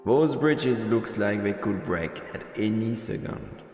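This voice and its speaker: A man's voice: lowish voice, expressive, slow, a little mellow. A man streaming a live let's play and reading the game's narration aloud over music. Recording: roomy, echoing mic over game music.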